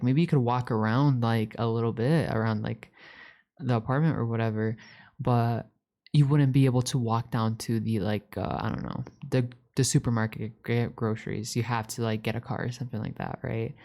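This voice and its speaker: Guy playing eager puppy boy roommate, asmr roleplay, mellow warm voice, rambly n stammery, sweet and earnest. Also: medium pitch, natural voice. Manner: rambling, stammering, repeats words for emphasis. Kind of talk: ASMR roleplay, casual improvised chat.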